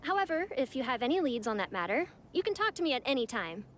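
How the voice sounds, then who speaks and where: A woman's voice: high voice, very animated, fast, a bit bright, slight background noise. A woman voicing a polite young event organizer with formal, courteous speech in an anime video game.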